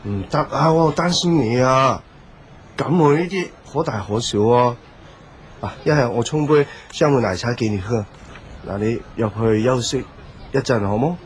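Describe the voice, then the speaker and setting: A young boyfriend, acted by a man, speaks in a medium male pitch with a Hong Kong Cantonese accent. He is worried, tender and attentive, gently coaxing as in a radio play. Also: normal speed, slight background noise, natural voice. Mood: tender concern. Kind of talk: audio drama dialogue.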